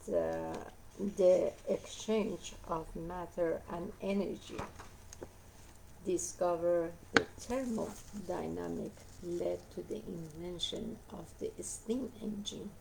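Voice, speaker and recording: A woman with a hoarse voice reads a nonfiction book aloud, pausing often on "uh" and going back to fix her words. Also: lowish voice, slight background noise, rough recording. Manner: halting reading with frequent uh fillers and self-corrections. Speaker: book reader.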